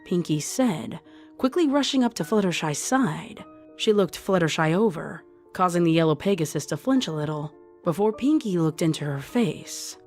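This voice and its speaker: Casting: female story narrator, lowish pitch, reading scripted fiction over music. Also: animated, normal speed, a little mellow. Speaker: narrator, fan fiction reader. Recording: music under the voice.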